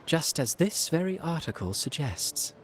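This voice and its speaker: An actor playing an urbane, enigmatic playwright in a video game, speaking with theatrical eloquence and a teasing, ominous edge. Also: male voice, on the high side, big pitch swings, a little slow. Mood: amiable, sly. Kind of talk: scripted video game dialogue.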